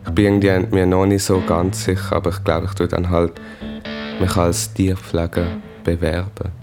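Swiss german teen boy, deep flat voice, halting casual talk, bit of guitar underneath. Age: teenager. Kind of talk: casual interview recollection.

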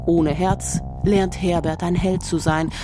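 A woman's voice: fairly low pitch, steady tone, slow pace, over music. A woman working as a radio culture reporter, delivering scripted narration.

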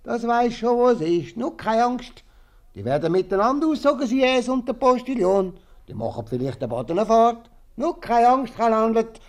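A man playing a loud, gruff, mocking townsman in a 1950s Swiss German radio comedy. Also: very high-pitched, animated, moderate pace. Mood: loud, teasing. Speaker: actor in a radio play.

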